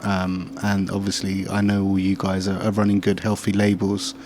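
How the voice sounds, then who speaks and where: A man's voice: low-pitched, monotone, moderate pace, darkish tone. A man hosting a show in a casual, conversational way. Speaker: show host and music producer. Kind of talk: casual chat. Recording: faint background noise.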